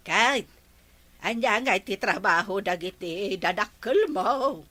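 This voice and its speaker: Old Ilocano grandmother, warm and welcoming, very slow delivery, lively bright voice, radio drama. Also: medium pitch, exaggerated voice. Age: older. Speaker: grandmother character.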